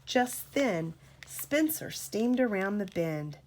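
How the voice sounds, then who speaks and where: A woman reading a storybook aloud to a child as a parent, in an expressive, deliberate storytelling manner. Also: mid-range voice, slight background noise.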